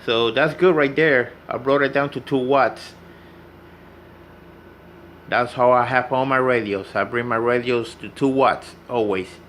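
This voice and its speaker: A man talks through a hands-on demonstration in a mid-range voice. He is plain and instructive, often restating a step. A light, steady hum of room noise sits behind his words. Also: mid-range voice, measured pace. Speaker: radio repair hobbyist demonstrating. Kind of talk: informal hands-on tutorial narration.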